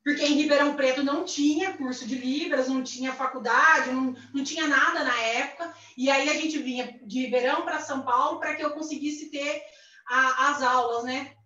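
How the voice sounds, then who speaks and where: A woman's voice: sits high, fairly even intonation, fairly quick, sharp tone. A woman with a Brazilian accent voicing a signed talk, hesitant, repeating words and adding tag questions. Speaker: sign language interpreter. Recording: distant echoey lo-fi livestream audio.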